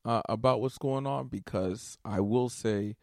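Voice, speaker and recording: Gravelly, profane young man podcasting. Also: mid-range voice, unhurried, dry recording, clean studio sound. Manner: rambling, profane, animated asides. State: tired, awake all night. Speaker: podcast host. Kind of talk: casual solo podcast monologue.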